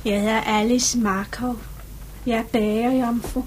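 A woman's voice: a little high, flat delivery, darkish tone, slight background noise, close to an everyday voice, poor audio. An actress playing an earnest young bakery shopgirl as a witness in a 1950s radio drama, speaking politely and hesitantly.

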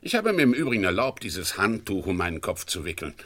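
A blustering, self-assured Scotland Yard inspector in an old radio comedy. His voice is sharp and husky, and it swings widely up and down. He sounds pompous and off-hand. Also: low-pitched, sing-song, fast, heavily acted. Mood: indignant, brisk. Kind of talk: mid-century German crime radio comedy acting.

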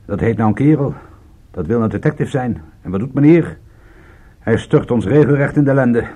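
An actor playing a world-weary, sardonic private detective with a husky voice in a radio play. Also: male voice, medium pitch, lively intonation, normal speed, warm tone, lightly acted. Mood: wry, weary. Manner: wry, sardonic, laconic. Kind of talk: radio drama acting with first-person narration.

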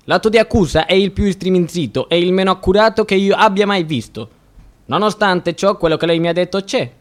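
A man's voice: high voice, a little fast, lightly acted. A man acting in a radio drama as a probing, wry and exasperated judge who hems and haws as he questions. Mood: impatient, wry.

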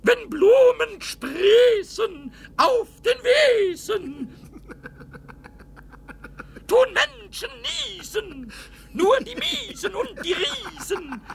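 A man playing a cheeky, excitable little kobold in a children's radio play, squeaky and creaky, stammering over repeated words and fond of rhyme. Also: very slow, exaggerated voice. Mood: gleeful, teasing. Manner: stammering repetitions, rhyming, excitable. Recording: faintly noisy 1970s radio recording, rumble.

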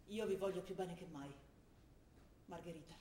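A woman's voice: fairly low pitch, moderate pace, dark tone, roomy sound, a little hiss or hum, natural voice. An actress playing an earnest, troubled young woman militant, confiding in a dialect-tinged way. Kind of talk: radio broadcast of a stage play.

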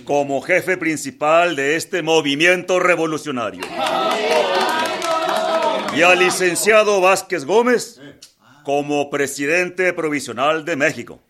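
An actor playing a pompous revolutionary general, declaiming to the people in a radio drama. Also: male voice, on the high side, measured pace, a loud crowd, very noisy, lightly acted. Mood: solemn.

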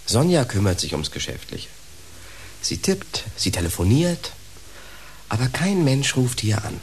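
A man's voice: medium pitch, very animated, measured pace, slight background noise. An actor playing a young, devoted but resigned companion in a radio drama, wry and wistful in his delivery. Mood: wry, weary. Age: young adult.

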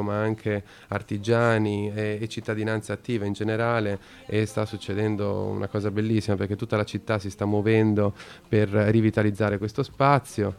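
A man hosting a radio talk, speaking hesitantly with many pauses and filler sounds. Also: lowish voice, gravelly, darkish tone, clear, resonant tone. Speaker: radio host.

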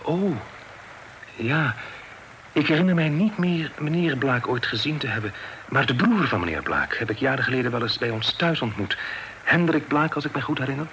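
Through clear background noise on an old radio play recording, a man plays a young gentleman of good manners. He speaks in a medium-pitched voice, hesitant and easily flustered, always courteous. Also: lively intonation, normal speed, natural voice. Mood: courteous, recollecting. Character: polite, flustered young gentleman caught in a storm. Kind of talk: Dutch radio play acting.

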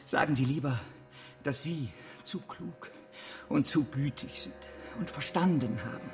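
A self-pitying, effusive fallen tycoon in a German radio drama. His voice is high and slow, breaking into emotional halts. Music plays softly underneath. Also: creaky. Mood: grateful pleading.

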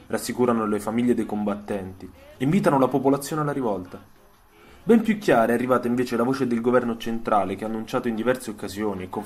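A man narrating a scripted story for radio, in measured phrases with dramatic pauses. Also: mid-range voice, a little mellow. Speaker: storyteller and author. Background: soundtrack music.

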